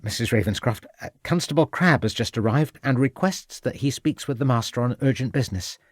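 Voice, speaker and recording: Voiced by a man, a flustered young housemaid, apologetic and lifted high as she stumbles through her announcement. The sound is dry and close. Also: lively intonation, brisk, no echo, natural voice.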